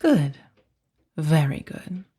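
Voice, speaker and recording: A woman playing a powerful, seductive mafia boss in a soft-spoken ASMR roleplay, speaking in a breathy, measured, commanding and teasingly menacing way. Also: sits low, big pitch swings, exaggerated voice. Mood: cool, controlling. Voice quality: soft, breathy, often slipping toward whisper. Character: powerful female mafia boss, coolly controlling, seductive kidnapper.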